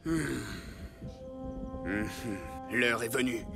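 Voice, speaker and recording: A solemn, resolute cartoon chief. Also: male voice, medium pitch, drawn-out words, gravelly. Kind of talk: French cartoon dubbing. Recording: music behind the voice.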